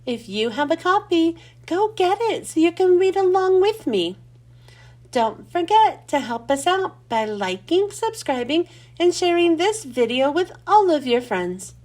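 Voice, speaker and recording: A woman reading a children's storybook aloud as host, with expressive, clearly enunciated delivery. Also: very high voice, measured pace.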